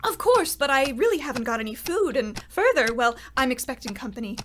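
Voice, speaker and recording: A woman plays a guilty lady in a radio comedy, hiding behind flustered politeness. Her voice is high and swoops about theatrically as she nervously dodges. The recording has clear background noise. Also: big pitch swings, fairly quick, a bit bright. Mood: nervously evasive. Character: flustered, guilty murderess feigning innocent politeness. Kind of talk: old-time radio comedy acting.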